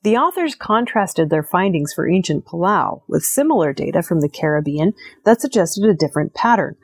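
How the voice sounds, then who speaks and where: A woman's voice: lowish voice, darkish tone, husky. A woman reading scripted news narration as the presenter of a news program. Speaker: news reader.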